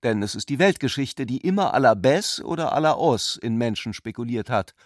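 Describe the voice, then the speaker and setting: A man's voice: medium pitch, lively intonation, brisk, no echo, natural voice. Actor as a dry-sounding, ironic intellectual man. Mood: ironic, courteous. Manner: polite, ironic, discursive. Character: ironic, polite, intellectual male cousin, teasingly philosophical. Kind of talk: literary radio play dialogue.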